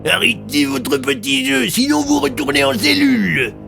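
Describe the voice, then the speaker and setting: Blustering colonel played by a man, high creaky voice, wildly swinging intonation, music underneath. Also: big pitch swings, moderate pace, heavily acted. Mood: threatening. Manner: barking, threatening. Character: blustering authoritarian colonel, meek before his superior. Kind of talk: audio drama acting.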